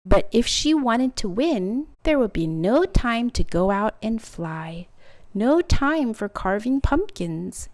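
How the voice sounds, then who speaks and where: A woman's voice: on the high side, very animated, takes it slowly, darkish tone, dry recording. A woman reading a children's picture book aloud expressively, drawing out key words for dramatic emphasis. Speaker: storytime reader.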